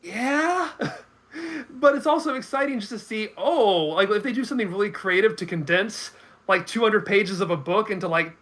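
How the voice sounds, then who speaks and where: A man in a casual discussion as a guest commentator, haltingly repeating words as he works out his thoughts. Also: sits high, a bit bright. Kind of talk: casual film and game discussion.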